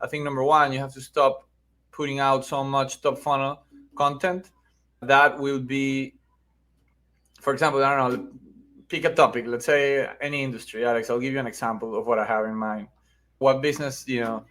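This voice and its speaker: A man's voice: mid-range voice, level tone, normal speed, fairly bright tone. A middle-aged man with a Venezuelan accent, explaining things conversationally as an interview guest and often checking in with "right?". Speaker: podcast guest, marketing agency founder.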